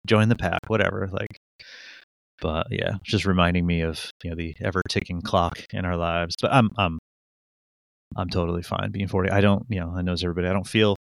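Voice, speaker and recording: A man's voice: low voice, steady tone, brisk, creaky, no echo. A man in his forties chatting casually as a podcast co-host, rambling and self-mocking with many fillers and restarts. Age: 40s. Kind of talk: casual chat. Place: Connecticut. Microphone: studio microphone.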